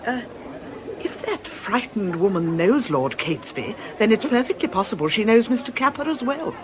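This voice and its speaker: Actress playing a shrewd, animated nun. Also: female voice, on the high side, noisy background, lightly acted. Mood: confiding, wry. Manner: brisk, wry, confiding. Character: shrewd, lively, observant nun, amateur sleuth. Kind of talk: radio drama acting. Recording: crowd bustle behind the voice.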